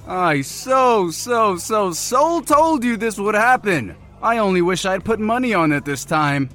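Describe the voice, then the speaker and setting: Snarky, animated young guy. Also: high voice, a little slow, soft background music, heavily acted. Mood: smug teasing. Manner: sarcastic, teasing. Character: snarky, blunt young man, teasing best friend. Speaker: voice actor. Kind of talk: audio drama dialogue.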